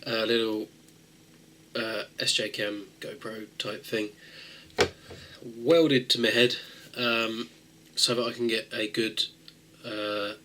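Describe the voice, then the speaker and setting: A man's voice: mid-range voice, bright, forceful tone, slight background noise, rough recording. A man with a cold and a nasal voice, talking viewers through a tech fix in a rambling, casual way with lots of fillers. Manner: rambling with many fillers and restarts. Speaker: tech video host. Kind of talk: casual how-to talk-through.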